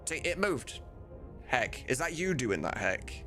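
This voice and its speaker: A man's voice: mid-range voice, very animated, unhurried, a bit bright, soft background music. A man livestreaming as he works, chatting casually with viewers and muttering to himself. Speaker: livestreamer and game modder. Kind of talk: casual chat while working.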